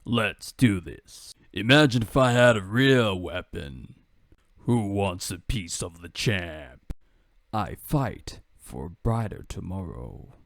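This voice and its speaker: A man's voice: sits low, sing-song, slow pace, vocal fry, a bit bright, dry recording, clean studio sound, full character voice. A man voicing a proud, confident heroic fighter from a video game, bold and declamatory. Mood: proud, cocky. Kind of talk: video game character impression.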